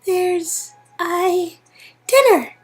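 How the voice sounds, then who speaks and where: A woman's voice: very high-pitched, extremely slow, faint music behind, voice pushed up, full character voice. A woman voicing a bubbly, teasing female friend in an amateur reading of a story game, with noise behind her. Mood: excited, teasing. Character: bubbly nudging female friend, playful matchmaker.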